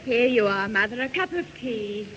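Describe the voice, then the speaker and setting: A scornful, sharp-tongued young woman as a bossy sister. Her delivery is very animated, in radio comedy style. The old recording is dull with faint noise. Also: a little high, moderate pace. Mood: matter-of-fact. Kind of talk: 1970 radio sitcom acting.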